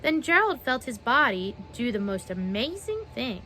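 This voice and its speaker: A young adult woman reading a children's picture book aloud, expressive and pausing to ask the listener questions. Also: a little high, slight background noise. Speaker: children's story reader.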